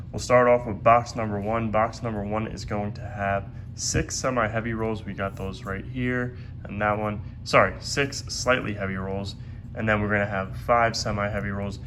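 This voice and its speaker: Congested man narrating with flat pitch. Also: noisy background. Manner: steady, methodical play-by-play. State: stuffed up and congested. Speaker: coin roll hunting video host. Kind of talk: casual hands-on commentary. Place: at a desk indoors.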